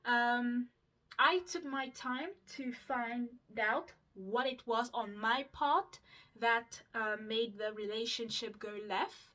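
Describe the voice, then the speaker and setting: A woman's voice: a little high, slow pace, bright, forceful tone. A woman, chatting casually to her viewers while doing her skincare, with lots of fillers and asides. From Mauritius. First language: Mauritian Creole. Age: 20s. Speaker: vlogger.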